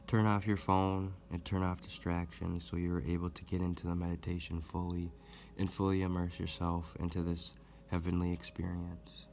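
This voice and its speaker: A man leading a guided meditation as host, speaking gently and deliberately with long pauses. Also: sits low, monotone, a little hiss or hum. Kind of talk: guided meditation, soothing instruction.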